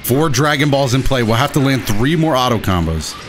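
A man giving casual, excitable commentary while he plays a video game, with playful dramatic flourishes. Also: medium pitch, fairly quick, faint music behind. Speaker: gaming video host and player. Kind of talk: casual live gameplay commentary.

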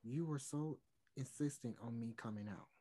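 Earnest, pleading male pop singer played by a man for a stage-play reading. Medium pitch, hesitant, apologetic. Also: moderate pace, mellow, lightly acted. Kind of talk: online one-act play table read. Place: room at home, video call.

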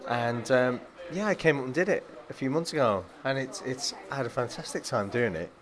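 A man's voice: medium pitch, expressive, brisk. A man, an actor, answering interview questions eagerly, heaping on praise and laughing easily.

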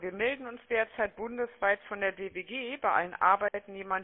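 A woman making a phone sales pitch, chatty and persuasive, often checking for agreement, heard over a telephone line. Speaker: telemarketing caller. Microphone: telephone call.

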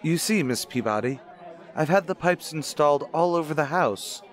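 A man's voice: on the high side, expressive, normal speed, natural voice. Man acting a suave, courtly widower, crowd behind. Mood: rapturous, genial. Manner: formal, suave, polite. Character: courtly, cultured rich widower, obsessed with his organ. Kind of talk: old-time radio drama acting.

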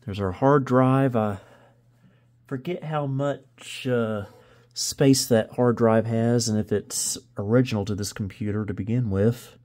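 A man's voice: mid-range voice, unhurried, a little mellow. A man giving casual hands-on commentary as a video host, thinking aloud and often unsure. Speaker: host of a vintage computer video channel. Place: indoors in a home room.

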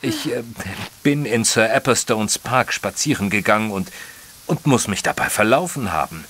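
An actor playing an educated, observant gentleman who narrates his own story in a formal, literary audio drama style. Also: fairly low pitch, animated, normal speed, a little hiss or hum. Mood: polite, hesitant. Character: male narrator Mister Tristram, educated, observant, easily flustered gentleman. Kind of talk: scripted first-person audio drama narration.

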